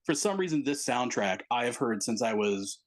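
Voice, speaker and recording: A man's voice: mid-range voice, very animated, normal speed. Rambling, self-mocking man on a podcast. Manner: rambling, self-deprecating, many fillers. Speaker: podcast co-host. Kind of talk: casual movie chat.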